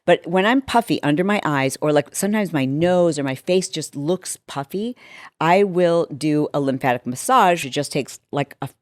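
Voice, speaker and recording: A woman in her fifties speaks in a low, slightly hoarse voice. She chats casually and candidly, like a host walking viewers through a personal routine. The recording is dry and close, with very little room sound. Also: lively intonation, moderate pace, dry recording. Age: 50s. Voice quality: deepened, slightly hoarse voice from a vocal cord injury. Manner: candid, conversational, with acted-out asides. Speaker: health and lifestyle show host.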